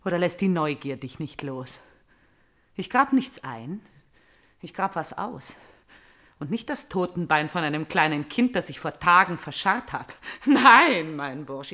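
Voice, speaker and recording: Vengeful young princess in a tragic radio play, slow and intense, whispery to crying out. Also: female voice, on the low side, lively intonation, sharp tone. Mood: wary, scornful. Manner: declamatory, intense, swinging between whispers and outcries. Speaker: radio drama actor.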